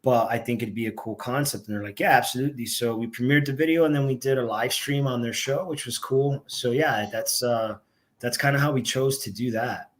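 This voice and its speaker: A young man chatting as a podcast guest, easygoing and rambling. His speech is full of fillers and restarts, and his voice sits at an ordinary middle pitch. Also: a little fast. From Miami, Florida. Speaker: band member, guest. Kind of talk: casual interview talk.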